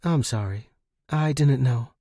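A man reading an audiobook, voicing a flirtatious woman pilot, tentative and gently hopeful, with drawn-out phrasing. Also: medium pitch, husky, dry recording, clean studio sound. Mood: sad, apologetic. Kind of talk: character dialogue in audiobook reading.

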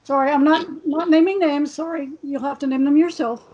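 A woman on a panel speaking from home in a relaxed way, with wry asides. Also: high voice, brisk. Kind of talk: casual panel discussion. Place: home room. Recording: dull lo-fi video call.

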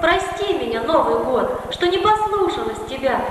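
A gentle young snow maiden acted by a woman in a stage fairy tale. Her voice is very high and melodic, ringing through a reverberant hall with some noise on the recording. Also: animated, normal speed. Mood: sincere. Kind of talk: children's New Year stage play acting. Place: theatre stage, recorded from the audience. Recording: echoey hall, noisy amateur camcorder recording.

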